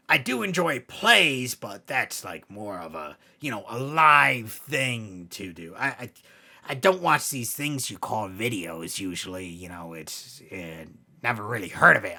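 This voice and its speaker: A man voicing Cerberus, a gruff, grumbling hellhound who hosts bedtime stories, with a gravelly, raspy voice. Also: fairly low pitch, very animated, measured pace, sharp tone, exaggerated voice. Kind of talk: scripted skit banter and horror storytelling.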